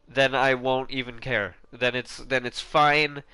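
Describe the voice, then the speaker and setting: Bright, crisp-voiced rambling gamer guy. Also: medium pitch, level tone, unhurried, no echo, poor audio. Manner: rambling, with frequent restarts and casual swearing. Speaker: gaming YouTuber. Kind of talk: casual video game commentary.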